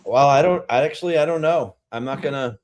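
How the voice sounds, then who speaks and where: A man's voice: mid-range voice, a little fast, darkish tone, slight background noise. A man with a Rhode Island accent, chatting casually on a livestream as a beer-review vlogger, rambling a little as he talks. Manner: chatty, rambling, reads out viewer comments.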